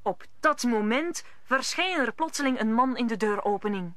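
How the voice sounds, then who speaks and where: A woman's voice: fairly high pitch, animated, moderate pace, fairly bright tone, no echo. A woman narrator telling the story in an old Dutch radio drama, in scripted storytelling style.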